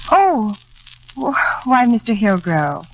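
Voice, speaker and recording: An actress playing a shy, kindly young housemaid, earnest and gentle, in a 1940s radio drama. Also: female voice, fairly high pitch, big pitch swings, takes it slowly, heavily acted. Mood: earnest, wistful. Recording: muffled, faintly noisy old radio recording.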